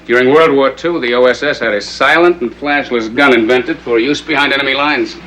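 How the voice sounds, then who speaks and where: An actor playing a serious, methodical district attorney, measured and matter-of-fact, in a 1960s TV crime drama. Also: male voice, mid-range voice, a bit bright, lightly acted, rough recording. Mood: explanatory. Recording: vintage TV soundtrack, faint music.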